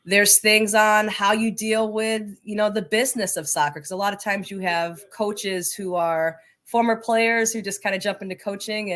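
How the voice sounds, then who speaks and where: A woman's voice: medium pitch, fairly bright tone. An enthusiastic, talkative woman speaking emphatically as a guest in a conversational interview. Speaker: podcast guest, soccer coaching advocate.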